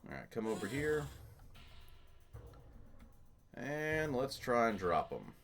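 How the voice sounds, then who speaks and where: A man's voice: medium pitch, very animated, slow pace, faint music behind. A man giving casual, jokey let's play commentary, muttering to himself as he goes, as the host of a gaming channel.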